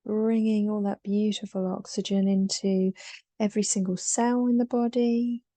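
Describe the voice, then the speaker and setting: A woman leading a guided meditation in a soothing, unhurried way, leaving long pauses between short, softly breathed phrases. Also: medium pitch, level tone, no echo.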